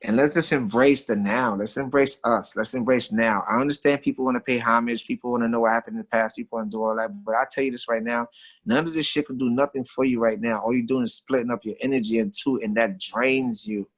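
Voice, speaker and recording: A man's voice: mid-range voice, steady tone, fast talker, poor audio. A man with an American accent talking casually as a guest, telling stories and philosophizing in emphatic, slangy, repetitive phrases. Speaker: guest, street artist. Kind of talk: casual conversational talk and storytelling.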